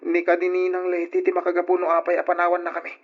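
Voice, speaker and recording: A man's voice: high voice, completely flat delivery, quick pace. A man with an Ilocano accent playing a troubled, earnest young son and older brother in old radio drama style. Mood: pleading, upset. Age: young adult. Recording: dull lo-fi old radio recording.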